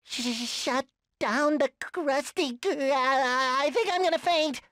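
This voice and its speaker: A man voicing a childlike, eager, naive sea sponge in a cartoon video game, squeaky, nasal and excitable. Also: slow, slight background noise, heavily acted. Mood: worried. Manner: excitable, exclamatory, earnest.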